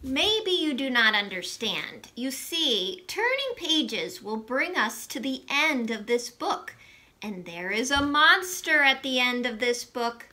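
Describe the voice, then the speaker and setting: An anxious, lovable furry male monster from a picture book, acted out by a woman, pleads dramatically. The voice is high and bright, drawing out each word with big swoops of emphasis. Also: very animated, heavily acted. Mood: pleading. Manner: slow, emphatic, exaggerated storybook delivery.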